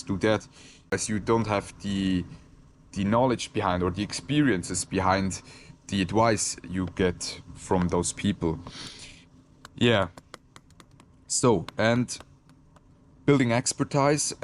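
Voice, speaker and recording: A man's voice: on the low side, slight background noise. A man from Switzerland, a native Swiss German speaker with a Swiss German accent, talking reflectively to camera, hesitant and searching for words with frequent restarts. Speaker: content creator. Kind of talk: reflective casual talk to camera.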